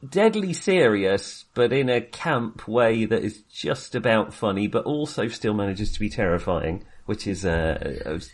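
A man's voice: on the low side, lively intonation, measured pace, few pauses. A man chatting casually as co-host of a film review podcast, speaking in a hesitant, self-qualifying way.

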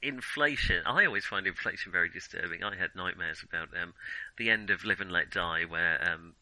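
A man's voice: deep voice, a little fast, bright, forceful tone, no echo, rough recording. A middle-aged British man co-hosting a film podcast in casual conversation, hesitant and thinking aloud as he goes.

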